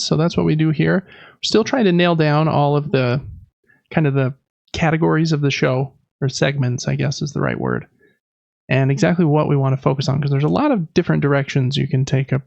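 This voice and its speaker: Creaky-voiced man, casual podcast host. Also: on the high side, darkish tone, no room sound, dull audio. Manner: rambling, self-deprecating. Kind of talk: casual chat about games and life.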